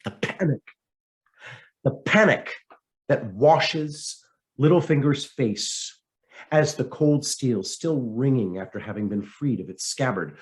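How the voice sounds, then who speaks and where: A man reading a scripted essay aloud as narration, with a theatrical, emphatic delivery and deliberate pauses. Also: mid-range voice, lively intonation. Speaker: reader of a political essay.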